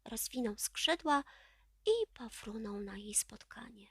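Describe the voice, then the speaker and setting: A woman reads a children's story expressively. Her voice is fairly high and she takes her time, recorded dry and close with hardly any room sound. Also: expressive, slow pace, sharp tone, slightly rough, no room sound. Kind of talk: expressive children's audiobook narration.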